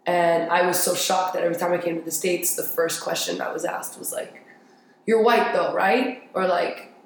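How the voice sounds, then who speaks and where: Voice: mid-range voice, completely flat delivery, a little fast. Young interview guest in a very echoey room. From grew up in Paris, France. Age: young adult. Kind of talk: casual conversational storytelling. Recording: very echoey room with faint noise.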